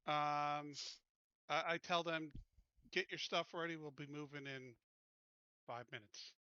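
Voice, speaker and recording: A man talking casually and deliberately as a player in a tabletop roleplaying game. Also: a little high, fairly even intonation, bright, forceful tone, clear, resonant tone, dry recording, muffled recording.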